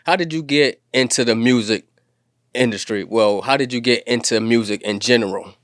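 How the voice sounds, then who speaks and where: A man's voice: medium pitch, normal speed, fairly bright tone, dry recording. Easygoing host guy hyping up his guest, mid voice, dry close sound. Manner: hypey, affirming, lots of "yeah" and "mm-hmm". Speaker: podcast host. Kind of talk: casual interview chat.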